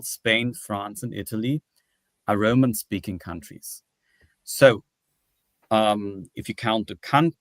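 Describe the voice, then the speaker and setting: Low male voice, academic presenter, halting explanatory delivery, webinar lecture, dry close sound. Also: a bit bright, no room sound.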